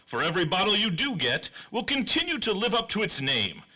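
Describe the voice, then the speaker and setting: Forceful, animated old-time radio announcer man. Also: high-pitched, brisk, poor audio. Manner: formal, showy sponsor-read delivery. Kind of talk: 1930s radio announcing, scripted.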